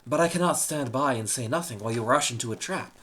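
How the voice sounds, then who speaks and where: A man's voice: medium pitch, fast, sharp tone, pitched above the performer's own voice, close to an everyday voice. A man voicing a humble but outspoken male slave in a humorous storybook, earnest and wry. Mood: pleading.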